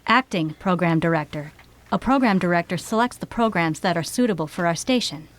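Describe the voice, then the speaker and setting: An actress playing a businesslike radio program director in an audio drama, firm and matter-of-fact. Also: female voice, lowish voice, animated, fairly quick, dry recording, natural voice. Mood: firm, official. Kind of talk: audio theater acting.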